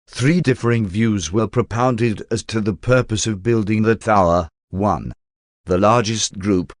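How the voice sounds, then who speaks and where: A man narrating a scripted religious text in a measured, formal, expository manner. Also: fairly low pitch, no echo, studio quality. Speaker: audiobook narrator.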